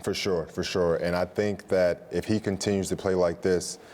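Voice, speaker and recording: A man's voice: low-pitched, flat delivery, normal speed, darkish tone. An American man giving conversational sports analysis as a studio analyst, building points in short repeated phrases. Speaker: studio analyst, former college player.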